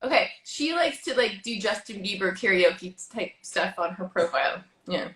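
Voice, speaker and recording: A woman in her thirties hosting a casual interview, chatty and giggly, firing off light quick questions. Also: mid-range voice, lively intonation, a bit bright. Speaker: host. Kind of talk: casual video chat interview. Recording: echoey room.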